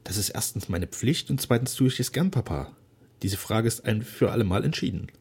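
A man's voice: lowish voice, expressive, fairly quick, darkish tone, slightly rough. A man reading aloud voices a young noblewoman pleading fervently with her father. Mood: earnest. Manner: raised, fervent pleading delivery. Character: young noblewoman daughter, devoted and earnest.

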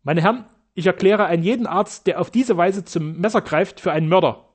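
A man's voice: fairly high pitch, a little fast, natural voice. A man voicing a fearless German doctor in an audiobook, speaking formally and accusing his rivals heatedly. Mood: indignant.

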